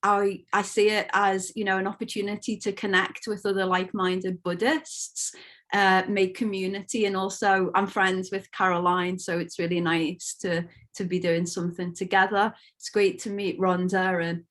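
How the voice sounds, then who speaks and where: A woman's voice: medium pitch, little pitch movement, normal speed, a bit bright. A woman in her fifties from Liverpool, an academic on a panel, introducing herself in a friendly, appreciative way with a few stumbles over a video call. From Liverpool, England.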